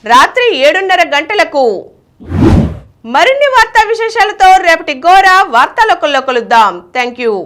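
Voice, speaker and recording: Lady news reader, high voice, really animated intonation, reading off a script. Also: normal speed.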